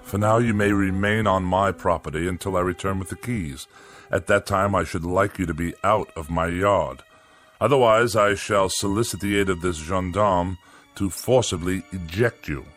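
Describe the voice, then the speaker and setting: An actor playing a pompous, vain and irritable middle-aged bachelor with florid, theatrical diction in a radio-style drama. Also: male voice, low voice, moderate pace, a bit bright, faint music behind. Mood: haughty.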